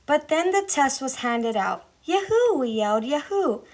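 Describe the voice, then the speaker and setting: Woman, high voice, children's storybook reading. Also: very animated, measured pace. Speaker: school staff member reading aloud. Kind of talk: children's story read-aloud.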